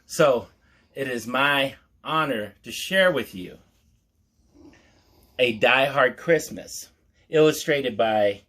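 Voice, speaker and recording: A man hosting storytime, reading a picture book aloud with mock-earnest asides in a measured storyteller's manner. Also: medium pitch, a bit bright.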